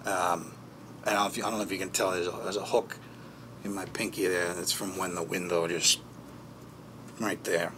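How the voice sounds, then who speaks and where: Man acting as haunted, bitter man, halting and low. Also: little pitch movement, background noise, close to an everyday voice. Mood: uneasy. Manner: halting, foul-mouthed, with pauses and emphatic bursts. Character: haunted, bitter man recounting childhood trauma for a documentary. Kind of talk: naturalistic dramatic monologue in interview form.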